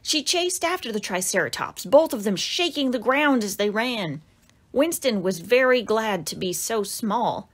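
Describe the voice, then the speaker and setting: A woman narrates a children's picture book in a fairly high voice. Her reading is lively and expressive, with pitch that swings widely. She leans into dramatic exclamations as she tells the story to young listeners. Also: unhurried, breathy edge.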